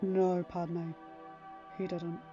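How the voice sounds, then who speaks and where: A woman voicing a grave, steady male warrior-monk master in an audiobook, speaking in a measured way. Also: fairly low pitch, highs cut off, soft background music. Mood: solemn.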